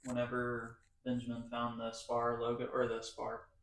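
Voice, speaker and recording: A man chats easily on a movie podcast and drops chuckling side comments. He is recorded in a roomy space that gives his voice a clear echo. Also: mid-range voice, monotone, moderate pace, fairly bright tone, a little hiss or hum. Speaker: podcast co-host. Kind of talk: casual movie discussion.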